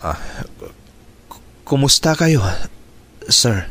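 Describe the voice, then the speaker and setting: A man's voice: mid-range voice, sing-song, very slow, a bit bright, heavily acted. A man playing a nervous, evasive young suitor in a radio drama, with a hesitant way of talking. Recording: faintly noisy radio drama track.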